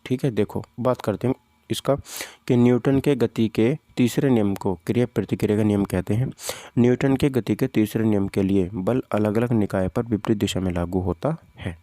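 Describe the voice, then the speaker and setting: Casting: male tutor, low dark voice, informal and repetitive, quizzing students through exam questions. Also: moderate pace, dry recording. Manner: conversational, repeats points, poses questions to students. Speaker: physics teacher. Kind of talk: informal exam-prep lesson, walking through questions and answers.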